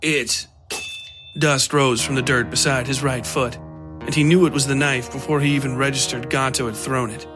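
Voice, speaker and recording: A man reading scripted dark fiction as the narrator of an audio story. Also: mid-range voice, steady tone, brisk. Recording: music under the voice.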